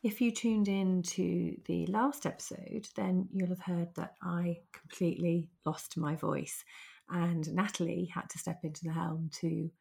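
A woman hosting a podcast interview, chatty and lively, still croaky and hoarse from recently losing her voice. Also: on the low side, a little slow. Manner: chatty, lively, laughs easily. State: hoarse, recovering from lost voice. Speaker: podcast host.

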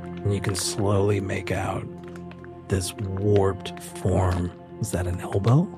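Male game master, low register, unhurried and suspenseful horror narration, clear music underneath. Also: level tone. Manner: slow, suspenseful, deliberate phrasing.

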